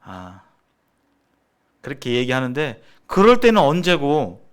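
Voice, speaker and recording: A man speaks as a church seminar teacher. His pitch sits in the middle of the male range. He explains things in a conversational, didactic way and often turns to his listeners with questions. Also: huge pitch swings, measured pace, clean tone. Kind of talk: sermon-like teaching to church members.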